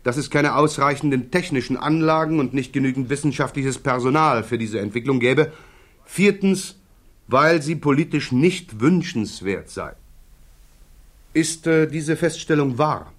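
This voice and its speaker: A man's voice: medium pitch, measured pace, natural voice. An actor playing an insistent male prosecutor in a radio play, pressing, precise and formal. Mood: coolly insistent. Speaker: interrogating counsel. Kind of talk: German radio play acting.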